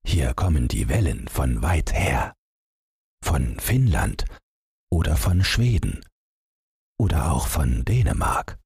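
A man narrating an audiobook story, reading scripted literary prose in measured, deliberate phrases with short pauses. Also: extremely low pitch, sing-song, vocal fry, a little mellow, slightly rough, no echo, clean studio sound.

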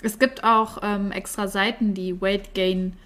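A woman's voice: medium pitch, fairly even intonation, a little slow, fairly bright tone. A woman co-hosting a casual chat podcast, chatty with lots of fillers and frequent laughter, a bit tired. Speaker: podcast co-host.

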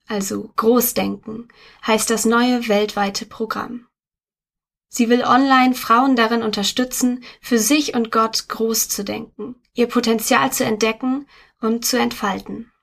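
A woman reading a magazine article aloud in a steady, measured scripted narration on a close studio mic. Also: fairly high pitch, creaky. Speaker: article reader.

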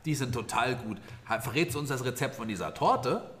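A man with very lively intonation and a quick pace hosts a playful improvised audio play. He prompts the others with encouraging questions in a casual, chatty way. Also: medium pitch. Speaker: host leading a children's improvised audio play.

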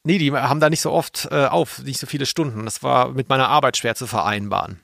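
Creaky-voiced man chatting, close and dry. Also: medium pitch, fast, a bit bright, no echo. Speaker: podcast co-host. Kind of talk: casual chat about radio plays.

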